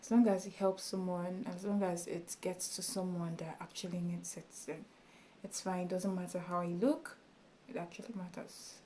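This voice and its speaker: A woman with a small, soft voice talking casually to her camera as a YouTube tutorial creator, chatty and encouraging with many fillers. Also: on the low side, flat delivery, normal speed, slight background noise. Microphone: camera in front of her.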